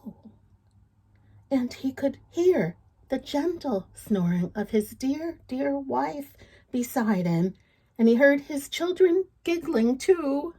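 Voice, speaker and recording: A woman tells a children's story in a fairly high voice. She takes it very slowly and reads with playful drama, as if drawing young listeners in with questions. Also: warm tone. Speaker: storybook reader. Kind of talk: expressive children's read-aloud storytelling.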